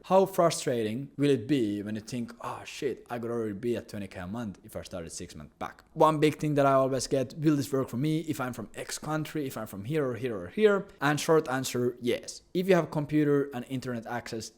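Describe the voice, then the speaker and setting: A young man from Finland with a Finnish accent, a native Finnish speaker, giving a blunt, confident sales pitch for his business coaching program and swearing casually. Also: a little mellow.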